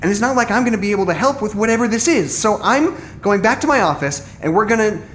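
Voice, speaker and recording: A man's voice: high voice, expressive, fast talker, fairly bright tone, slight background noise. A man playing a jaded, stubborn psychologist in an audio drama, blunt and exasperated in a naturalistic style. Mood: agitated. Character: jaded, frustrated male psychologist, stubborn and guarded. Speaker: actor in an audio drama podcast.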